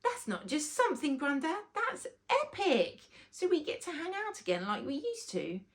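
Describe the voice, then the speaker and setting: A woman performs a nine-year-old boy from a children's story. The boy is excitable and anxious, speaking in a raised pitch that swoops about freely. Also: moderate pace. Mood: amazed, excited.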